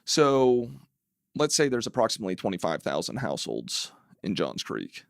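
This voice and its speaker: Gravelly-voiced man, dry close sound. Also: on the low side, wildly swooping pitch, normal speed, no room sound. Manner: analytical, wry, hesitant with fillers. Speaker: city council member, guest. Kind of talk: casual interview chat.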